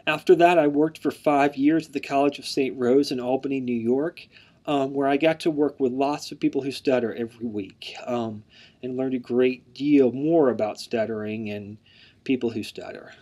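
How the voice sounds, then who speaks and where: A man's voice: mid-range voice, moderate pace, mellow. Guy in his 30s intro-ing his online class, mild stutter, repeats words, lots of ums, regular mid voice. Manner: mild stutter with word repetitions; frequent "um" fillers, earnest and plain. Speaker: instructor. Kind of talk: online course introduction lecture.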